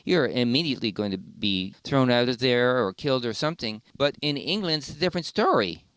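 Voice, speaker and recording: A man hosts a casual interview. His voice sits at an average pitch, and the sound is dry, with hardly any room echo. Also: lively intonation, a little fast, a bit bright, smooth. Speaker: podcast host.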